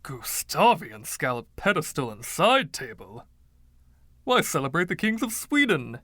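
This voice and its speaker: A man's voice: on the high side, very animated, a little slow, pushed and bright, dry recording, studio quality, pitched above the performer's own voice, full character voice. A man voicing the haughty, indignant ghost of a rich 1920s dandy, pompous and fussy, with old-fashioned slang, in a story reading. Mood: disdainful.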